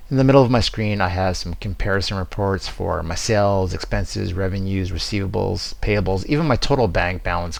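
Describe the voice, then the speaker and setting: A man giving an explanatory software demo walkthrough, stressing his key words as he presents. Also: low voice, creaky.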